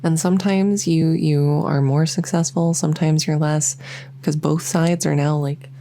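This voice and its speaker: A woman's voice: deep voice, steady tone, a little slow, warm tone, slight background noise. A woman voicing a lonely, socially awkward vampire who rambles enthusiastically in an ASMR audio roleplay. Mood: earnest rambling. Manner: rambling, enthusiastic info-dumping, many fillers. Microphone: close ASMR microphone.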